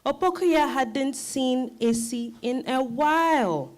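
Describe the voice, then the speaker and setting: A woman narrating a dramatized story as an expressive storyteller. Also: high-pitched, takes it slowly.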